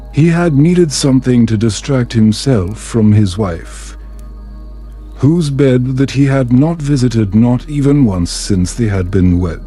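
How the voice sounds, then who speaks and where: A man reading a scripted bedtime story in a calming, soothing, measured way. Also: medium pitch. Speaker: storyteller, narrator.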